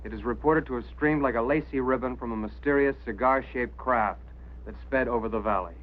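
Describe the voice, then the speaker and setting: An actor playing an earnest, insistent radio operator in a 1950s drama, on a tinny soundtrack with hiss. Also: male voice, mid-range voice, fairly quick, lightly acted. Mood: earnest recital. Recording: tinny, hissy vintage soundtrack with hum.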